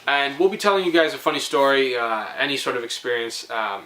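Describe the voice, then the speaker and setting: Young male vlogger, playful and rambling storyteller, forceful bright tone, roomy echo. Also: mid-range voice, expressive, a little fast. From Canada. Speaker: host of a storytelling video series. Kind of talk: casual comic storytelling to viewers.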